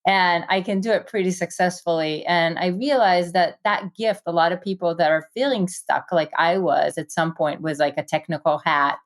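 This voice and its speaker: Woman, Israeli accent, lowish voice, enthusiastic, lots of fillers, conversational guest. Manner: fast, enthusiastic, many fillers and "you know". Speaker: guest, tech investor. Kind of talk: casual conversational storytelling.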